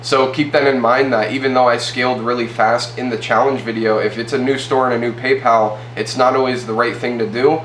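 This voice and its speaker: A man's voice: medium pitch, steady tone, fairly bright tone. A young man in his twenties with a stuffy nose gives a casual, loose update to the camera from a small room. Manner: fast, loose, filler-heavy talk. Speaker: e-commerce YouTuber. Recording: roomy with faint hum.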